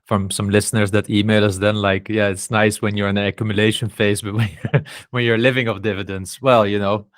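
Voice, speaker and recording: A man's voice: lowish voice, little pitch movement, a little fast, dry recording, studio quality. A man hosting a podcast in a casual way, often restarting words and stumbling. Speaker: podcast host. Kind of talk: casual chat.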